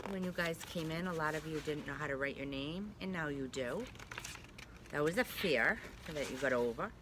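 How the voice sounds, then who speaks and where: Bright-voiced woman teacher reading to young children. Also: deep voice, slight background noise, poor audio. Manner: simple, child-directed talk with check-in questions. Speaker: pre-K teacher. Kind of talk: read-aloud of a children's picture book with asides to the class.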